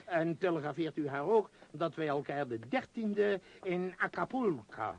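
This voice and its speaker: A man's voice: fairly high pitch, lively intonation, measured pace. A man acting an imperious, absent-minded billionaire tycoon in a radio play, rambling and hesitant in a self-important way. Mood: bossy, distracted. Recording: muffled, dull radio play recording.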